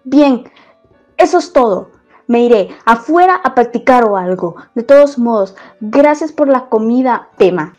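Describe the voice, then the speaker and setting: High-pitched flustered young heroine played by a woman. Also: lively intonation, unhurried, darkish tone. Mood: fed up. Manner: hesitant, stammering. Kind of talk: amateur Spanish comic fandub. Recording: dull lo-fi audio under music.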